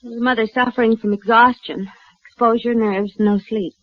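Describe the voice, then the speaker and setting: A woman's voice: a little high, fairly even intonation, normal speed, no echo, natural voice. A woman playing a determined, dryly self-doubting doctor in a 1950s western radio drama. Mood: sober, clinical. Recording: muffled, noisy vintage radio recording.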